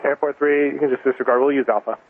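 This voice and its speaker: A man working as a tower controller, giving clearances in radio phraseology over an aviation radio. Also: medium pitch, fairly even intonation, quick pace. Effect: radio transmission. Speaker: air traffic tower controller. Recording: narrow, lightly noisy aviation radio.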